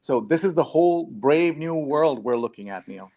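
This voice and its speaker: A man's voice: on the high side, expressive, moderate pace. Man, talk show host, from New York, casual live presenting, muffled lo-fi stream sound. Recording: dull, lo-fi online stream audio.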